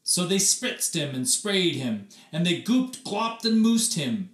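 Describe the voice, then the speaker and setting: A man reading a children's story aloud expressively, with theatrical emphasis and dramatic pauses. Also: sits high, big pitch swings, a bit bright, roomy sound. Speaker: read-aloud storyteller, teacher.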